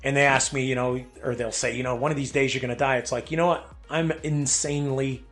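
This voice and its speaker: A man's voice: mid-range voice, fairly even intonation, fast talker, fairly bright tone, faint music behind. Affirming male podcast host. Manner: affirming, frequent "yeah" and "that's right". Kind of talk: casual interview chat.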